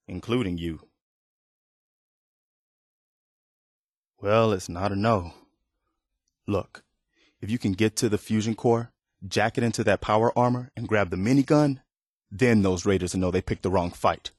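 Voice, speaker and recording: A man's voice: fairly low pitch, normal speed, no echo, studio quality, lightly acted. A man playing an earnest, weary militia leader in a video game, speaking in a sincere, plain-spoken, conversational way. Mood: determined. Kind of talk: video game dialogue acting.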